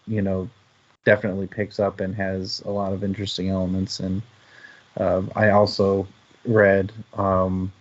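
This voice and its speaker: A low-pitched male book show host chats casually in a flat, even tone. He feels his way through sentences, thinking aloud. The audio is slightly dull and lo-fi. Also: moderate pace. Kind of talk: casual book discussion chat. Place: live online stream.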